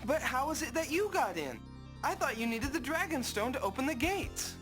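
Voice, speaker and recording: A woman's voice: sits high, very animated, normal speed, music in the background. A woman voicing an earnest, brave young apprentice hero in a video game, polite and resolute. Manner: earnest, formal. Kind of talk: video game cutscene acting.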